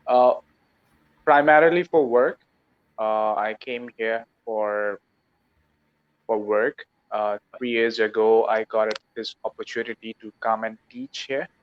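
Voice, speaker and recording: A man from Pakistan, a teacher practicing his English on a video call, speaks slowly and haltingly in short answers. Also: on the low side, steady tone, no room sound, muffled recording. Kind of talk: casual video-call chat.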